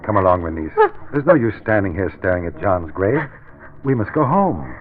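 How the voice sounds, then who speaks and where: An actor playing a haughty, indignant murderer feigning innocence, in stiff old radio drama style. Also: male voice, sits low, very animated, moderate pace, narrow, tinny audio. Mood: stern.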